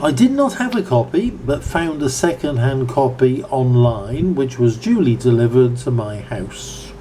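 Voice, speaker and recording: Slow-spoken older southern English man, mellow. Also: medium pitch, very animated, a little hiss or hum. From Berkshire, southern England. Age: 70s. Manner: measured, bookish, formal phrasing. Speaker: amateur book reviewer giving an informal lecture. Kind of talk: informal lecture, read from notes.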